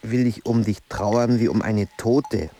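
An actor playing a brooding, solitary man in a literary radio drama, speaking in a halting, reflective way. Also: fairly low pitch, dark tone. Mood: agitated. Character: brooding solitary man recalling grief and lost love.

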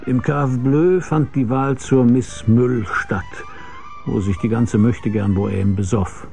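Casting: hard-boiled radio detective, mellow gravelly voice, dry and laconic. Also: male voice, medium pitch, fairly even intonation, slow, soft background music. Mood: scornful, dry. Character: hard-boiled, world-weary male private detective, narrating. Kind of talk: noir radio drama narration and dialogue.

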